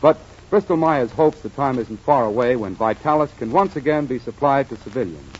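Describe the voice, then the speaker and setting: A man playing a friendly announcer pal in a 1940s radio show, polished and hearty in a sponsor-pitch style. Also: expressive, normal speed, natural voice. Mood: polished, promotional. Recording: vintage 1940s radio, muffled, faint noise.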